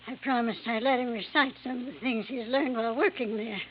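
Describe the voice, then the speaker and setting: A woman playing a gravely ill, gracious old grandmother in a radio drama, earnest and confiding. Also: a little high, steady tone, brisk, lightly acted. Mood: weak, gracious. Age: older. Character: frail, gracious old grandmother on her deathbed, candid. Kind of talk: old-time radio acting. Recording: muffled vintage radio recording, faint noise.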